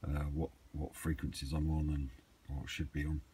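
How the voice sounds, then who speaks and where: Low-voiced man, noisy recording. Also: level tone, normal speed, dark tone. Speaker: hobbyist vlogger. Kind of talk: casual chat, off-the-cuff equipment vlog. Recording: clearly noisy amateur camera recording.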